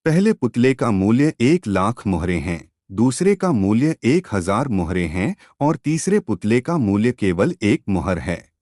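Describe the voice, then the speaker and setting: A man narrating a scripted folk tale as a storyteller, in a measured, expressive audiobook manner. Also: lowish voice, no echo, studio quality.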